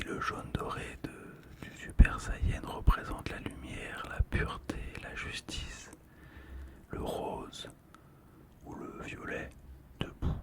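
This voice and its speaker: An ASMR creator whispering softly and unhurriedly, with pauses, close to a stereo microphone. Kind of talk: ASMR whispering, describing a collectible card.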